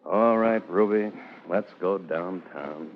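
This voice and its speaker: A thin, tinny vintage radio recording of a crime drama. a dogged American police captain with a fairly low voice. He is dry and blunt, pressing with clipped questions. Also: slow, heavy background noise.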